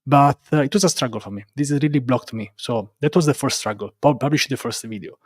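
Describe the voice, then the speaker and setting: A man with a strong accent from Rome speaks as a guest in a casual interview. His English is non-native and halting, with phrases often repeated and a self-deprecating touch. His voice sits at a middling pitch, in a very dry, close sound. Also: slightly rough, no echo. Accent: Roman Italian. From Italy. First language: Italian. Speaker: interview guest, developer advocate and content creator.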